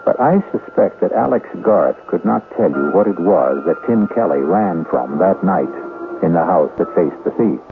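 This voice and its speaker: A man hosting and narrating a suspense radio drama in a measured, ominous, dramatic manner. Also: deep voice, lively intonation. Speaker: host and narrator. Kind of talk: scripted suspense narration for old-time radio. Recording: tinny old radio broadcast, music behind.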